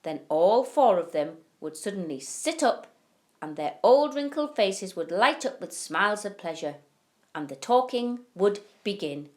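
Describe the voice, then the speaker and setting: Slow-paced woman reading a children's story. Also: mid-range voice, expressive. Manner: deliberate, emphatic, storyteller phrasing with drawn-out key words. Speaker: primary school teacher reading to pupils. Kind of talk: expressive children's bedtime story narration.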